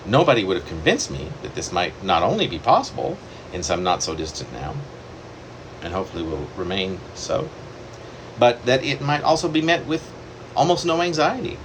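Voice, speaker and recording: A man reading his own long poem aloud in a wandering, self-correcting way, with sudden leaps in pitch. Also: expressive, moderate pace, background noise. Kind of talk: poetry reading.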